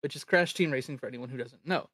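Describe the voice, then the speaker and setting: A person chatting casually as host of a livestream, telling stories in an animated way and swearing freely. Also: medium pitch, fast talker, slightly rough, no echo. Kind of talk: casual group chat on a livestream.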